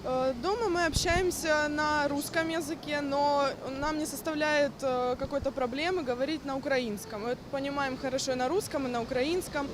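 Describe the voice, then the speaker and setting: Brief: woman, high voice, hesitant, outdoor traffic noise. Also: steady tone, moderate pace, few pauses. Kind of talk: street interview answer.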